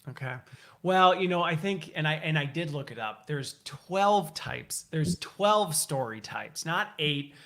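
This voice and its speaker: A man with a fairly high voice hosts a relaxed, conversational interview. He is talkative, often thinking aloud and summing up points before moving on. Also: measured pace. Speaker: interview host.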